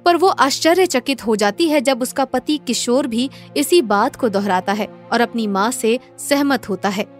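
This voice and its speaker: A woman's voice: on the high side, measured pace, faint music behind. A woman narrating a scripted moral story as a storyteller.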